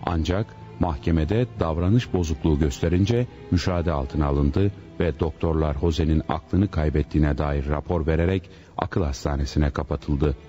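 Deep formal male narrator. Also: dark tone, faint music behind. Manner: formal, measured. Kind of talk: scripted narration. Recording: dull lo-fi recording.

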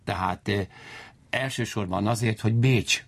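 A male historian with a low, creaky and husky voice gives explanations in an interview. He lectures in a digressive way, often circling back to rephrase. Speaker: historian guest and university lecturer.

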